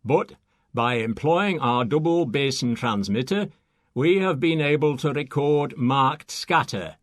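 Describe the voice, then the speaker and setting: An actor playing a measured, precise radio astronomer reporting technical results in a satirical audiobook story. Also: male voice, mid-range voice, lively intonation, dry recording, clean studio sound, natural voice. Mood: measured, assured.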